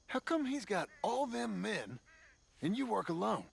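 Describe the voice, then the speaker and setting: A man playing a gruff, dry gunslinger partner in a western video game. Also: sits high, sing-song, measured pace, slight background noise, full character voice. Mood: curious, dry.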